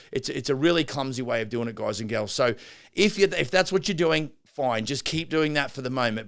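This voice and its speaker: Gravelly, smooth-voiced rambling podcast man. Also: medium pitch, fairly bright tone. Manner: rambling, many restarts and fillers. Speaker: podcast host and builder. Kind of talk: unscripted business podcast monologue.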